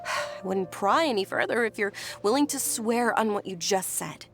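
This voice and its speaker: A woman voicing a sheltered, earnest young noblewoman in a video game, gentle and sincere. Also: mid-range voice, expressive, normal speed. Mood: concerned. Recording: game audio with faint music.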